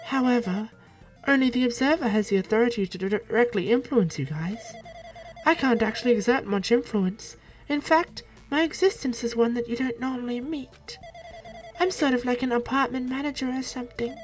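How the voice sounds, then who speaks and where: Woman voicing a gentle young male ai program, soft polite game character, slightly high voice. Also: normal speed, faint music behind, lightly acted.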